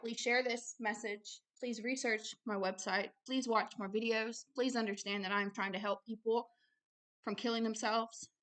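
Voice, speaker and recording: A woman's voice: on the high side, fairly even intonation, normal speed, fairly bright tone. A woman hosting a religious video, reading aloud and preaching with an emphatic, insistent manner and short repeated phrases. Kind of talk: reading a book aloud with impassioned preaching commentary.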